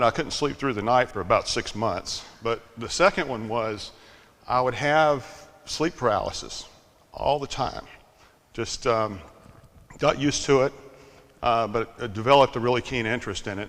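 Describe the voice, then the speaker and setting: Older man, monotone talk in a hall. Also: medium pitch, moderate pace. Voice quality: slightly monotone. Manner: relaxed, self-deprecating, loose with fillers. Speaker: conference speaker. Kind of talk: conference presentation. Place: conference hall. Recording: long hall reverb.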